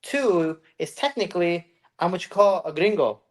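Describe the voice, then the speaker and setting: Rambling, animated American man. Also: sits high, normal speed. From United States. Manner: rambling, animated storytelling with put-on voices. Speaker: podcast co-host. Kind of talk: casual chat.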